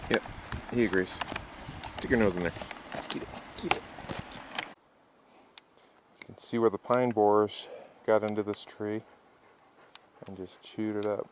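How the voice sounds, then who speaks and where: A man's voice: on the low side, steady tone, a little slow, long pauses, slight background noise. A man casually narrating his backpacking trip outdoors on a mountain trail in an easygoing, wry way, recorded on a handheld camera mic.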